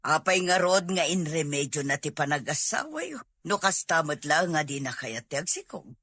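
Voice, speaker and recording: A woman playing a concerned older mother yearning for a grandchild in an audio drama, fretful and probing, with a husky voice and an Ilocano accent. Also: low-pitched, expressive, moderate pace, fairly bright tone, no echo, studio quality.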